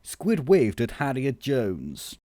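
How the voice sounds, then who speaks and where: A man reading a scripted comic story as narrator, measured and deadpan. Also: mid-range voice, dry recording, clean studio sound.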